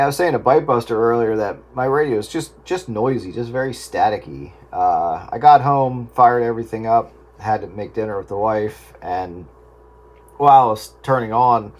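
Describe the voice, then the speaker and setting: A man's voice: on the low side, darkish tone. A man chatting casually as a radio operator over a two-way radio. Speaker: amateur radio operator on an on-air roundup. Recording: noisy two-way radio with static.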